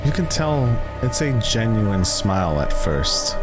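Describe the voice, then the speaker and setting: A man runs a tabletop roleplay as game master, narrating scenes with deliberate suspense. His pace is very slow and his pitch moves expressively around a middle register, with music clearly playing behind him. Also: sing-song.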